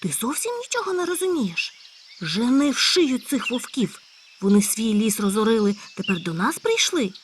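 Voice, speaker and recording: A woman voicing a calm, hardworking male hedgehog in a storybook tale, speaking matter-of-factly. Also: on the high side, moderate pace, slight background noise. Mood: stern. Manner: calm, matter-of-fact, scolding when cross. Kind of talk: storybook character voice.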